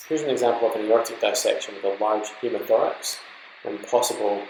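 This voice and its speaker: A man's voice: on the low side, a little fast, a little mellow, echoey room, a little hiss or hum, poor audio. A man giving an informal, explanatory slide lecture to trainee doctors, talking them through examples in an instructive way. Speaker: medical lecturer.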